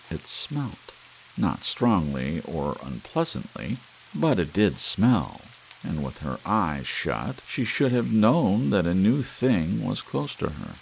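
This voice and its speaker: A man reading an audiobook in an ASMR style, deliberately and with expressive phrasing, in a deep, gravelly voice. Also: slight background noise, rough recording. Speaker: audiobook narrator. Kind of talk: scripted ASMR audiobook narration. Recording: faint rain sounds behind voice. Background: steady soft rain.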